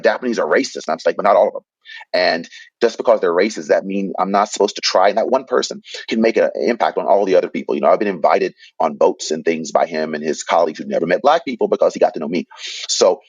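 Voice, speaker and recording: Fast-talking deep-voiced man, husky. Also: darkish tone, no room sound, muffled recording. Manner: earnest, rambling with many restarts. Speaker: guest. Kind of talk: reflective interview storytelling.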